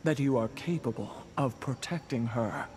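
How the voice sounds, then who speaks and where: A man's voice: mid-range voice, moderate pace, lightly acted. An actor playing a proud warrior man in a video game. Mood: stern, challenging. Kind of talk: video game character acting. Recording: long reverb, loud background noise.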